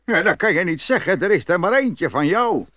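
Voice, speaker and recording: A man's voice: sits high, big pitch swings, moderate pace, a bit bright, no room sound, studio quality, heavily acted. A man playing a bossy, blustery, hearty farmer in a fairy tale audio play. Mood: threatening, indignant.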